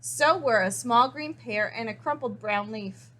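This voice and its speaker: A woman with a high voice reads a children's picture book aloud. Her delivery is expressive, leaning on key words and pausing for drama. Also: a little hiss or hum. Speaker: story reader.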